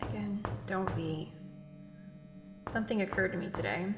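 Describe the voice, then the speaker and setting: A woman's voice: mid-range voice, moderate pace, close to an everyday voice. An actress playing an earnest, candid young woman trapped with her friend in an audio drama. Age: young adult. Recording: roomy echo under loud music.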